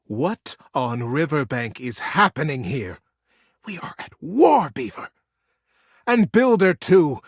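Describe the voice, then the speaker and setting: A man's voice: fairly high pitch, sing-song, slow pace, breathy edge, no echo, clean studio sound, voice pushed up, heavily acted. A man voicing a stern old female beaver matriarch in a storybook tale, with a trilling, imperious, scolding manner. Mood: scolding, indignant.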